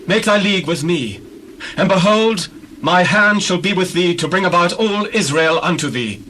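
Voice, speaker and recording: Proud warrior commander for scripture drama, played by a man: high male voice, declamatory, noticeable background noise. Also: lively intonation, normal speed, sharp tone, poor audio. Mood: bold, persuasive. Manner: declamatory, archaic scriptural speech. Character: proud, indignant ancient Israelite army commander.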